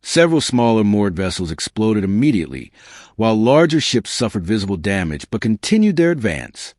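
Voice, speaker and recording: A man reading a scripted science fiction story as an audiobook narrator, in a measured, dramatic storytelling delivery. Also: fairly low pitch, very animated, darkish tone, no echo, studio quality.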